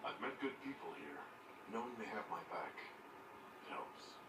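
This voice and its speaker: An actor playing a calm, supportive fantasy leader in a video game, with a steady and sincere delivery. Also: male voice, lowish voice, unhurried, whispered. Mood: calm, reassuring. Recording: roomy, distant, very noisy game capture.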